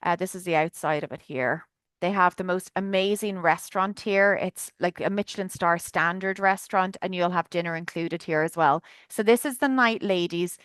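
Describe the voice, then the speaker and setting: A woman's voice: fairly low pitch, moderate pace, a bit bright, clean tone, dry recording. An Irish woman chattily presenting a trip on a video call webinar, enthusiastic with lots of fillers. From Ireland. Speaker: tour guide presenting a trip.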